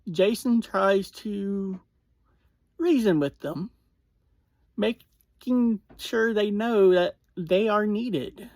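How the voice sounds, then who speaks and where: Casual recap reviewer: unhurried, halting delivery in short phrases, medium pitch, very dry sound. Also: darkish tone, no echo. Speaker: online reviewer.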